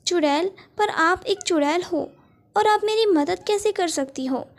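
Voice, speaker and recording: A woman voicing a mistreated young girl who speaks softly and pleadingly in a storybook. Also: very high voice, measured pace, voice pushed up. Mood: wary. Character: sad, mistreated teenage girl.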